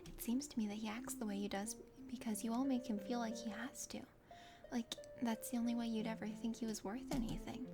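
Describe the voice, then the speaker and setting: A woman voicing a kind, earnest young aspiring knight in a breathy ASMR game reading. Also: on the high side, steady tone, a little fast, close to an everyday voice. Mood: protective. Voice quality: whispery, breathy. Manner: gentle, sincere. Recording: soft music behind.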